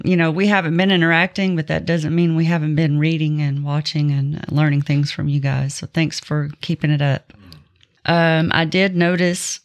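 A woman co-hosting a podcast, chatting informally as she shares announcements with listeners. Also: low voice. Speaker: podcast co-host. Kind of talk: casual podcast chat with announcements.

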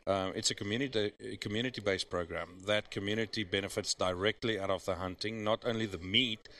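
A man's voice: on the low side, steady tone, fairly quick, pushed and bright. A man who is a professional hunter and is not a native English speaker, talking earnestly and persuasively in an interview with frequent restarts. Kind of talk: casual interview talk.

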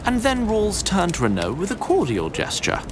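A man's voice: high voice, huge pitch swings, normal speed, background noise. A man giving scripted story narration in a theatrical, literary and wry manner.

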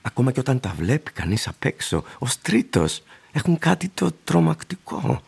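Gravelly, breathy old man. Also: medium pitch, sing-song, a little mellow, full character voice. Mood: ominous warning. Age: older. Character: little old shoe-shiner, polite, eerily insistent. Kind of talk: radio drama acting.

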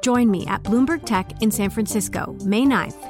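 A woman's voice: mid-range voice, moderate pace. Polished woman reading a promo over music. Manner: polished, evenly paced delivery. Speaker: news anchor reading a promo. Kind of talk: scripted promo read.

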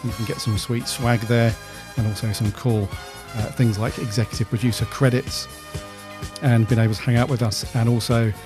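A man's voice: medium pitch, flat delivery, normal speed, over music. A man co-hosting a podcast in casual, easygoing, friendly chat. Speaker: podcast co-host.

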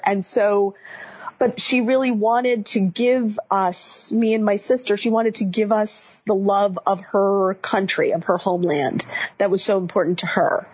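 Female interview guest, husky, reflective, picks words with care, lo-fi sound. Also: mid-range voice. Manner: thoughtful, searching for words. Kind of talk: reflective conversational storytelling. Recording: muffled lo-fi recording.

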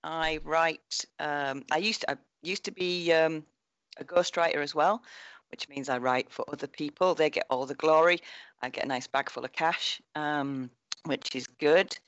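A woman's voice: low voice, moderate pace, fairly bright tone, no echo, dull audio. A middle-aged woman, a guest author chatting casually on a video call podcast, self-mocking and swearing freely.

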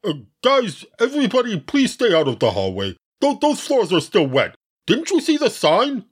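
A man playing a fussy, put-upon villain's minion, hesitant and polite, with a husky voice. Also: high voice, wildly swooping pitch, normal speed, heavily acted. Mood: anxious, polite.

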